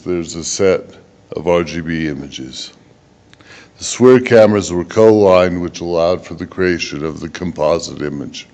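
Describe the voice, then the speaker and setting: A man giving a technical conference presentation as a researcher, in a measured, halting way with occasional stumbles. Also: low-pitched, darkish tone.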